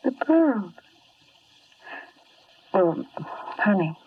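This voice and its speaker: A woman's voice: medium pitch, sing-song, extremely slow, slightly rough, no room sound, exaggerated voice. An actress playing a coy, sly young woman in a 1950s radio drama. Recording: dull, distant 1950s radio recording.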